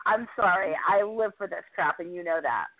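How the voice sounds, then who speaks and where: Chatty woman recapping indie wrestling over a tinny phone line, super excited, jokes at herself. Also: lowish voice, moderate pace, slight background noise. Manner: chatty, enthusiastic, self-deprecating. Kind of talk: casual podcast recap.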